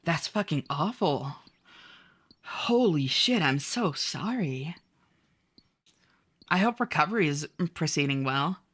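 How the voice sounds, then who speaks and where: Woman streamer, low-pitched, bright and forceful tone, casual upbeat chat, filler-heavy. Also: lively intonation, takes it slowly, pauses often, husky. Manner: chatty and upbeat, lots of "like" and "um", casual swearing; reads viewer messages aloud. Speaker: game streamer. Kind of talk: casual live-stream chat, answering viewers.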